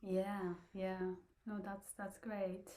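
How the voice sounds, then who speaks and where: A woman's voice: mid-range voice, flat delivery, takes it slowly, dark tone. A woman interviewing a young pianist, asking short questions with brief encouraging replies.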